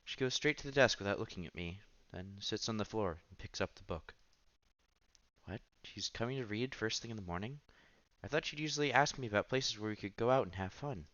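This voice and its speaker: Low-voiced man reading a visual novel aloud. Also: lively intonation, brisk, fairly bright tone. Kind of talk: playthrough narration, reading story text aloud with casual reactions. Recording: dull lo-fi sound with game music behind. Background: visual novel background music.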